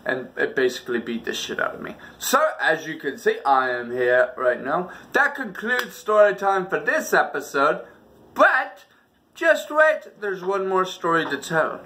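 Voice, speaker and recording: A man's voice: fairly high pitch, very animated, unhurried, bright, forceful tone. A man hosting a comedic storytelling video with a theatrical, exaggerated delivery. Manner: theatrical, exaggerated delivery with acted-out reactions and sound effects. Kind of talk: animated comedic personal storytelling.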